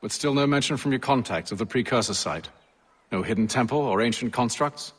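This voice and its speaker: An actor playing a cool-headed English gentleman in a video game, formal, measured and authoritative. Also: mid-range voice, slightly rough, close to an everyday voice. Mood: composed. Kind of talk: video game cutscene acting.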